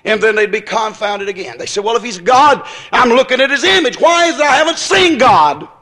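Male preacher, very high-pitched and forceful, folksy and emphatic, delivering an impassioned sermon. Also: animated, a little fast. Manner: emphatic, repetitive, building phrases into shouts; folksy, colloquial phrasing. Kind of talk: impassioned sermon with acted-out dialogue.

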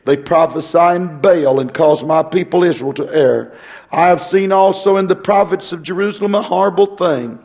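A hoarse male pastor, talking folksy and rambling, then reading scripture in a rising preaching cadence. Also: on the high side, normal speed, darkish tone.

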